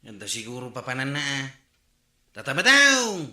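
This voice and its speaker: A man with an Ilocano accent playing a gruff, scornful tricycle driver, confrontational and insulting, in a radio comedy drama. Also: mid-range voice, huge pitch swings, normal speed, bright, forceful tone, echoey room, heavily acted.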